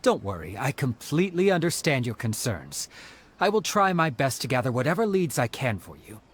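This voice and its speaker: Friendly eager helper guy, really lively voice. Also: medium pitch, normal speed, slightly rough. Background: game music.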